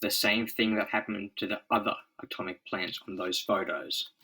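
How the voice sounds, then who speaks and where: A man's voice: lowish voice, fairly even intonation, moderate pace, pushed and bright, a little hiss or hum, low-quality recording. A man playing an authoritative scientist leader, serious, urgent and declarative. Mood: urgent, grave. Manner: earnest, declarative.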